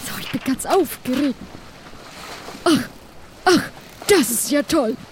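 Woman acting an eager pirate animal keeper, high voice, delighted manner, audio play. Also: expressive, slow pace, heavily acted. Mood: excited delight. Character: excited, animal-loving pirate crew member. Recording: faint background noise.

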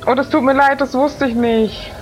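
A woman's voice: high-pitched, fairly even intonation, moderate pace, slight background noise, low-quality recording. A woman improvising in character as a player in a tabletop role-playing game. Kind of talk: improvised in-character chat and play.

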